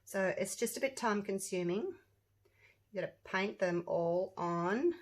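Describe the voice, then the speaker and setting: A woman with a croaky voice, chatting casually to viewers as she demonstrates a resin craft project. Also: mid-range voice, a little slow. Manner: chatty, rambling, talks to viewers while working. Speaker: resin craft channel host.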